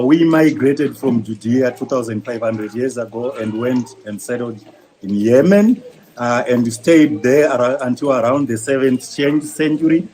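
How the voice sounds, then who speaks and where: A man answering interview questions about his community's history in a steady, informative way. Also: mid-range voice, a little hiss or hum, poor audio. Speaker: synagogue president.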